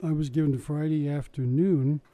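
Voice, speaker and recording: A man's voice: a little high, steady tone, normal speed, no echo, phone-quality sound. An older man telling stories in a casual interview about running science shows, chatty and wry with frequent restarts. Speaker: interviewee recalling his science show work. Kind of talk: casual oral-history storytelling.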